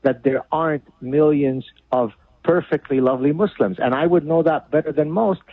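Man, first language Arabic, radio guest, blunt, emphatic, husky, tinny phone line. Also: on the high side, moderate pace. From Lebanon. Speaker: guest author. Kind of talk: radio interview.

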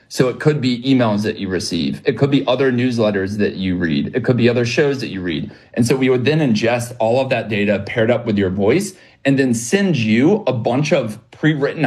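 A young man explaining his business as an interview guest, earnest and brisk. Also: medium pitch, a little mellow, rough recording.

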